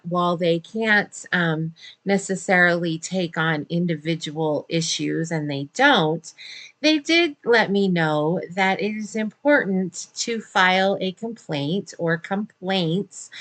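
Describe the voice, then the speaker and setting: Middle-aged american lady doing a casual update vid, kinda low creaky voice, earnest, pauses a bit. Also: a bit bright. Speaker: special education advocate and vlogger. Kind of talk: informal video update to viewers.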